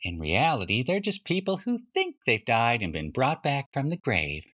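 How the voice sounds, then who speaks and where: Storybook mother, male reader in the part: prim, dismissive, proper, very lively intonation. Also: mid-range voice, moderate pace, highs cut off. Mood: patronizing. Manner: patronizing, proper. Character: Johnny's dismissive, prim mother, talking down gently. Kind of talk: children's storybook reading.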